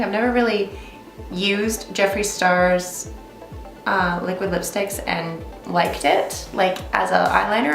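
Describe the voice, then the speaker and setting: Creaky-voiced young woman chatting makeup. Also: medium pitch. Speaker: makeup tutorial host. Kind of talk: casual chatty beauty tutorial. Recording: roomy echo, music behind. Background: music.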